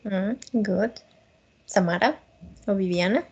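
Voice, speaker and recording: A woman from northeastern Colombia with a Colombian accent, an English teacher on a video call who gently corrects students and mixes Spanish with English. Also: mid-range voice, slow pace, slightly rough, muffled recording. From Norte de Santander, Colombia. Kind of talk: online English class.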